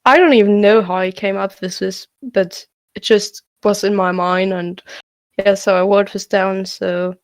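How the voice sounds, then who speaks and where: A woman's voice: medium pitch, fairly even intonation, unhurried, dry recording. A young woman who is not a native English speaker, answering questions hesitantly on a video call, with many fillers and restarts. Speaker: student poet answering questions.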